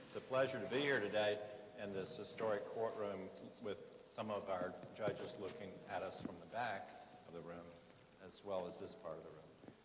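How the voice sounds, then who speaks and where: An American man, a judge, giving formal opening remarks to an audience in deliberate, measured phrases with pauses. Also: low voice, steady tone. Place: large historic courtroom. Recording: echoey hall, faint background noise.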